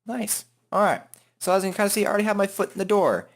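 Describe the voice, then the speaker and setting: A man hosting a software tutorial in an informal, joking, self-deprecating way. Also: sits high, animated, quick pace, gravelly. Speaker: tutorial host. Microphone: headset microphone.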